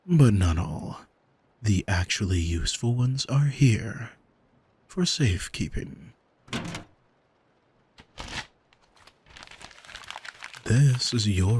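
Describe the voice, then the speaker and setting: A man playing an arrogant demon in an ASMR audio drama, smug and teasing, speaking deliberately and intimately to the listener with a husky voice, close on the microphone. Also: fairly low pitch, darkish tone, no echo, full character voice. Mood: amused, mocking. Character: arrogant male demon who owns a soul, smug, taunting. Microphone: close studio microphone, dry.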